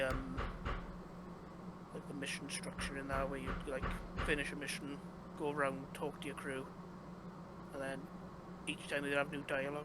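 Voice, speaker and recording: Rambling young Welsh man, noisy line. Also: fairly high pitch. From Wales. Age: young adult. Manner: rambling, many fillers and restarts. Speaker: guest game developer. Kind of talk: casual interview chat. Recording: noisy background.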